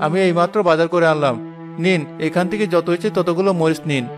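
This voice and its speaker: A man playing a patient, henpecked village husband and gentle father in a cartoon drama, mild, placating and apologetic. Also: a little high, steady tone, fast, music in the background, close to an everyday voice. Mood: mild protest. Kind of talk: Bengali cartoon drama acting.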